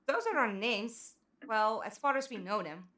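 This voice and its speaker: A woman playing a composed, cautious leader of captives, measured and authoritative, in an audio drama. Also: fairly high pitch, a bit bright, natural voice. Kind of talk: fan audio drama acting.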